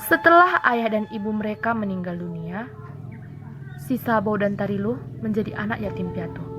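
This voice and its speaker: A young woman narrates a traditional folktale in a mid-pitched voice. She delivers it deliberately, pausing between phrases. Music plays faintly behind her. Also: animated. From North Sumatra, Indonesia. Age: young adult. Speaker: student storyteller.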